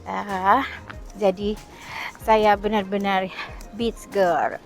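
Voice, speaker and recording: A woman's voice: mid-range voice, level tone, drawn-out words, soft background music. A middle-aged woman from eastern Indonesia chatting casually as she walks along a beach, with frequent "eh" fillers and short laughs. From East Nusa Tenggara, eastern Indonesia. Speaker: travel vlogger. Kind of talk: casual walking vlog chat. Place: outdoors on a beach.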